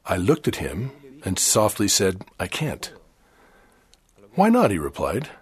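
An older man from Chile giving a formal church sermon in a measured, earnest way. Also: lowish voice, wildly swooping pitch, slightly rough. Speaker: church speaker.

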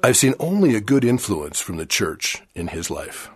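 Older man from Chile preaching a sermon; low, slightly husky voice, measured and earnest. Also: sing-song. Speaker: church speaker. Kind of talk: formal sermon.

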